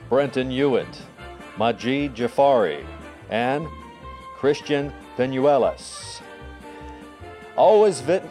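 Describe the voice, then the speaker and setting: A man's voice: mid-range voice, a little mellow. A man hosting a radio program, reading a scripted sign-off. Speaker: host and faculty advisor. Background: music.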